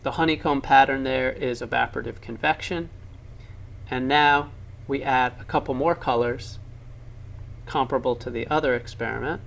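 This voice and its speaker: A man's voice: medium pitch, steady tone, moderate pace, gravelly, fairly bright tone. A man narrating laboratory science demonstrations for an educational film, explaining with enthusiasm and emphasis.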